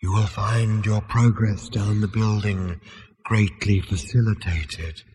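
A man voicing a quiet, deep, disembodied custodian in an audiobook, murmuring with soft, wistful, ethereal politeness. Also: fairly even intonation, a little hiss or hum. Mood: calm, courteous. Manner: slow, politely melancholy murmur.

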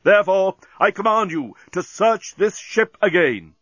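A man's voice: sits high, lively intonation, a little slow, fairly bright tone, no room sound, dull audio, voice pushed up, heavily acted. A man voicing a grandiose, earnest alien starship captain, declaiming and commanding in a storybook reading. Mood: grave, rousing.

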